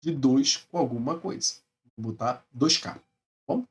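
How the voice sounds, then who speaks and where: A man's voice: mid-range voice, unhurried, distant mic. A male math teacher with a Brazilian Portuguese accent, explaining step by step in a recorded lecture and often checking in with his students.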